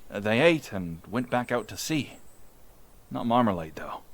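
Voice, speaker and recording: A man's voice: mid-range voice, natural voice. A man playing a chatty young villager who fondly recounts sea monster tales in an informal, rambling audio roleplay. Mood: amused, admiring. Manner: informal, animated, rambling witness account with hesitations. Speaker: voice actor. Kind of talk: in-character audio roleplay storytelling, conversational.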